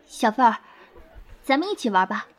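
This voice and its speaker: Woman acting high-voiced, jealous, hurt schoolgirl. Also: sing-song, normal speed. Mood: playful, competitive. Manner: emotional, dramatic delivery. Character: jealous, possessive schoolgirl hurt by her closest friend. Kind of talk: Chinese audio drama acting.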